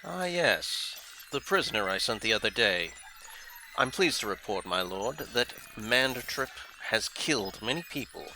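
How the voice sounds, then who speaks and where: Fawning henchman voiced by a man, sharp-toned. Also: medium pitch, expressive, a little slow, faint music behind, full character voice, poor audio. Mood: servile. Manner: servile, eager to please. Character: fawning male alien henchman, servile. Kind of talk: audio drama acting.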